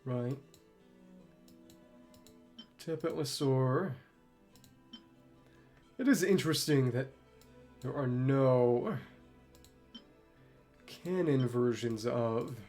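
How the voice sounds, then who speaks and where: A man's voice: medium pitch, slow pace, long pauses, a little mellow, soft background music. A man streaming a game, musing to himself in short asides and reading dialogue aloud in a casual way. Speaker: gaming streamer.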